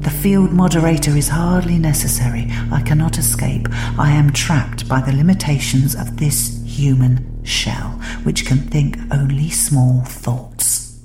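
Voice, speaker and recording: Woman performing deposed male alien emperor, low pitch, bitter, brooding, melodramatic storytelling, music behind. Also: steady tone, a little slow. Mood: brooding self-pity. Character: bitter, self-pitying deposed male alien tyrant in human body. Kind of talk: first-person dramatic story narration.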